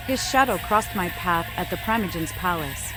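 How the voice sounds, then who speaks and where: Cryptic, slightly low madwoman vampire played by a woman. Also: lively intonation, normal speed, lightly acted, low-quality recording. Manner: riddling, oracular. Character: cryptic mad female Malkavian vampire, eerie and riddling. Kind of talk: video game dialogue. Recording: music behind the voice.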